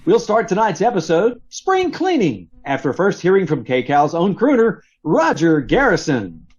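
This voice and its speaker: Male radio announcer, vintage sponsor-read style, hugely varied intonation, slightly high, dull call audio. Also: huge pitch swings, moderate pace, darkish tone. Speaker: radio troupe performer and announcer.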